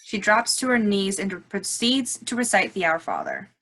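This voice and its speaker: A woman's voice: mid-range voice, sing-song, moderate pace, fairly bright tone, low-quality recording. A woman reading stage directions as scripted narration, with slight noise in the recording.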